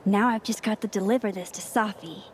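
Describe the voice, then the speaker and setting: A woman's voice: mid-range voice, steady tone, fairly quick, a little hiss or hum, close to an everyday voice. A woman playing a wry, playful young woman in a naturalistic video game, casual and teasing. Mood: scheming, casual.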